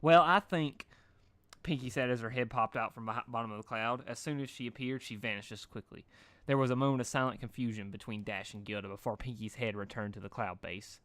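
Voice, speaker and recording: A man narrates fan fiction in storybook style. The voice has a medium pitch, and the recording is dry with little room sound. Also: fairly even intonation, fast, fairly bright tone, no echo.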